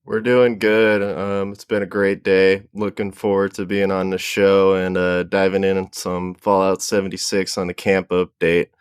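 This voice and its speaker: A man's voice: sits low, little pitch movement, normal speed, hardly any pauses, smooth, no room sound, studio quality. A man chatting casually as a guest on a gaming stream, laid-back and informal. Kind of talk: casual chat.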